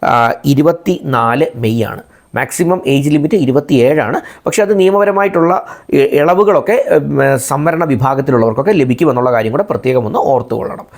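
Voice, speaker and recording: A man's voice: medium pitch, moderate pace. A man from India speaks in a mid-range voice as a video host. He explains information step by step, restating key points as he goes. His English carries a Malayalam accent. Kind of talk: informational job-vacancy explainer to viewers.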